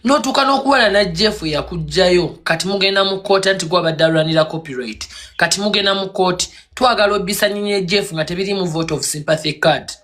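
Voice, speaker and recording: A man on a live stream rants in a scolding, mocking tone, firing one accusation after another. His voice sits high for a man. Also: fast talker, fairly bright tone. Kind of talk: heated rant on a live stream.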